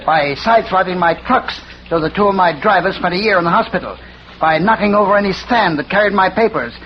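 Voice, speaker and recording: A man's voice: high voice, a little fast, close to an everyday voice. An actor playing a bitter, accusing ruined newspaperman with a hard, confrontational manner in 1940s radio drama. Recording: noisy, muffled old radio broadcast recording.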